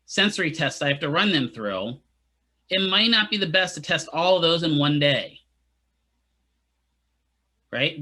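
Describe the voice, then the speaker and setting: A man's voice: on the high side, bright, forceful tone. A man teaching physical therapy students in a casual lecture, often checking in with "right?" and making joking asides. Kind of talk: classroom lecture.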